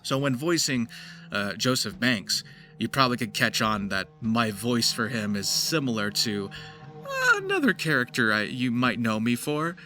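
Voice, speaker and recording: Bright-voiced man giving voice-acting tips. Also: mid-range voice, sing-song, normal speed. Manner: slips into gritty character demonstrations. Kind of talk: casual explanatory commentary. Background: music behind the voice.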